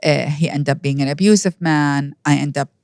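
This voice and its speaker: A woman in her fifties from Iraq with an Iraqi accent, telling personal stories as an interview guest in an animated way. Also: sits low, moderate pace, vocal fry, no echo. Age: 50s. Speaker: podcast guest.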